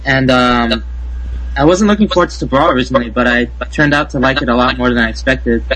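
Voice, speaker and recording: A man's voice: medium pitch, fairly quick, a bit bright, rough recording. A man from Southern California, a podcast guest, introducing himself hesitantly with many fillers.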